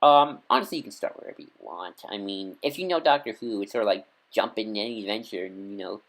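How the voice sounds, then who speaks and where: A man's voice: fairly low pitch, animated, fast talker, a bit bright. A man talking casually to camera, recommending stories as an enthusiastic fan, rambling with many ums and restarts. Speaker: video creator recommending audio dramas. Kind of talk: casual unscripted talk to camera.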